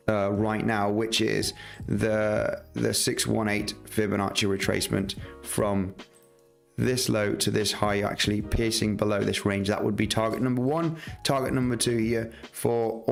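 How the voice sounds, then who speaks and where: A man with a gravelly, slightly rough voice hosts a live trading stream. He talks loosely through his charts, full of fillers and restarts. Faint music plays softly behind him. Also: fairly low pitch. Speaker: market analysis livestream host.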